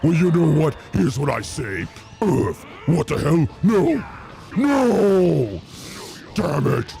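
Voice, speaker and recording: A man's voice: a little high, big pitch swings, slow pace, vocal fry, pitched above the performer's own voice, full character voice. A man voicing a vengeful, gloating dragon villain from a video game, theatrical and taunting. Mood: mocking, grand, then angry, straining. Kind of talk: improvised video game villain role-play. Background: game music.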